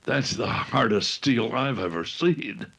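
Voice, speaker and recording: A man performs a ruthless gang boss in an old-time radio adventure. His voice is gravelly and husky and swings widely in pitch. He takes his time, halting and menacing. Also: animated, fairly bright tone, heavily acted. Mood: exasperated. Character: Red Markheim, ruthless gang boss posing as a grandpa.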